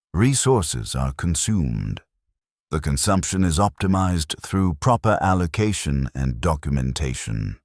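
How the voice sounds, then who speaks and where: A man voicing a weary, resigned soldier-clerk in a scripted dramatic monologue, speaking in terse, clinical, detached sentences with a husky, very deep voice. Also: dry recording, clean studio sound, exaggerated voice. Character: weary, resigned, detached soldier-clerk logging records on a toxic war world. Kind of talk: scripted first-person dramatic narration.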